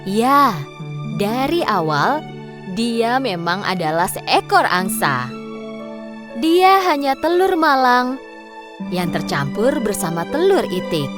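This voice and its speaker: A woman narrating a children's cartoon story in a lively, expressive way. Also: on the high side, slow pace, long pauses. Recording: narration over loud background music.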